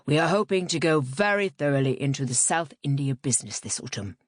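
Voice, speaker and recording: A woman's voice: sits low, sing-song, moderate pace, slightly rough, dry recording, studio quality, pitched below the performer's own voice, exaggerated voice. A woman voicing a genial, self-assured and slightly pompous male priest in an audiobook, with leisurely, unctuous emphasis. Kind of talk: audiobook character voice.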